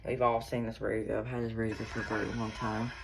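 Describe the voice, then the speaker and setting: Guy showing off his stuff, gravelly voice, rambly and halting, noisy room w/ clicks. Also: fairly low pitch, level tone. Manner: halting, rambling, self-correcting. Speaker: radio collector showing a collection. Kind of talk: casual hands-on show-and-tell.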